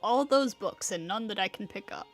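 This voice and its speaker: A woman's voice: medium pitch, lively intonation, normal speed. A woman livestreaming a game, giving casual, joking, exclamatory reactions and chatting with her viewers. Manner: joking, exclamatory, talks to chat. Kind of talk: casual live reaction commentary. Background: game music.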